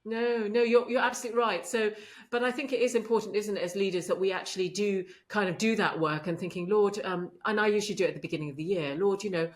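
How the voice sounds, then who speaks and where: A woman's voice: medium pitch, steady tone, fast. A middle-aged woman speaking earnestly as a guest in a podcast interview, with frequent affirmations. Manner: earnest, warm faith talk with frequent affirmations. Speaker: podcast guest, medical leader. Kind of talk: conversational interview.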